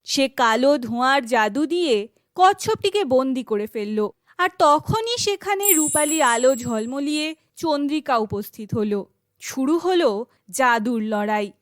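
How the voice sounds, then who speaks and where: A woman's voice: sits high, expressive, a little slow, no room sound, studio quality. A woman reading scripted fairy tale narration for children, as a storyteller.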